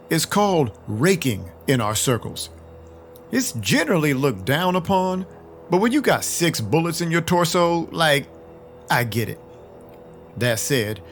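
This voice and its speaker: Wry, lowish vampire detective narrator. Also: male voice. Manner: wry, dry, conversational. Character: world-weary, compassionate male vampire detective. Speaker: narrator and lead. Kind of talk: first-person scripted noir narration, audio drama.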